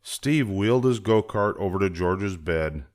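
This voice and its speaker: Deep-voiced older man reading a storybook. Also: lively intonation, measured pace, a little mellow. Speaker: storybook reader. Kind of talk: children's story read-aloud narration.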